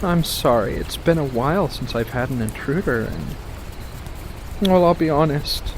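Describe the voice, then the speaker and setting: A man voicing a playful, mischievous furry witch of the woods in a soft-spoken, teasing ASMR roleplay, full of gentle endearments. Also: a little high, animated, normal speed, noisy background. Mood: teasing, apologetic.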